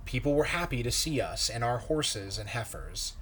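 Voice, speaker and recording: A man, a pastor, reading a children's story aloud in a measured, expressive way. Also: mid-range voice, breathy edge.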